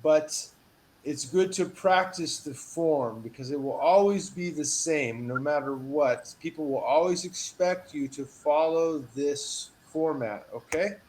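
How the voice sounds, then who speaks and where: A man teaching a one-on-one English lesson over a video call, patient and encouraging, reading model phrases with lively emphasis. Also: fairly high pitch, a little slow, a little hiss or hum, rough recording. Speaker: English tutor.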